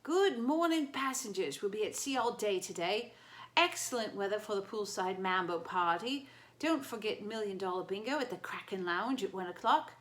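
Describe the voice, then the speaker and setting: A woman voicing a cheerful male ship announcer with an Australian accent, loud and chirpy. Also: mid-range voice, lively intonation, normal speed, close to an everyday voice.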